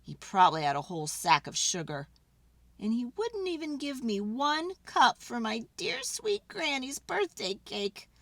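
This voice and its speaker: A storybook wolf, acted out by a woman talks in a high, unhurried voice with theatrical swoops. The wolf is chatty and mock-sincere, forever justifying himself to young listeners. The sound is close and dry. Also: very animated, a bit bright, no echo, heavily acted. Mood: indignant. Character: folksy, innocent-acting wolf telling his side, self-justifying. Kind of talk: children's storybook read-aloud.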